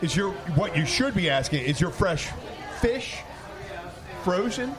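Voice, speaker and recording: Loud crowd behind, guy with a highish voice, casual bar chat, kinda halting. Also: very animated. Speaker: podcast host and bartender. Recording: busy crowd noise, outdoor setting. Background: loud crowd chatter.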